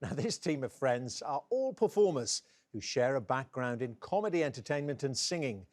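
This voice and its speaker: A man hosting a television quiz show, brisk and jokey with the contestants. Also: mid-range voice, animated, a little mellow. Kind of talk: scripted quiz presenting.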